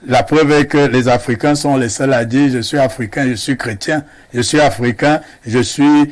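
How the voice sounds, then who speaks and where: A man from Cameroon, speaking as a guest on a televised panel debate in a measured, lecturing way. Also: mid-range voice, steady tone.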